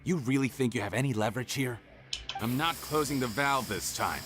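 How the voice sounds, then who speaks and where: An actor playing a smug, scheming criminal chemist in a video game, sneering and menacing. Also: male voice, medium pitch, a little fast, background noise, close to an everyday voice. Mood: sneering, threatening.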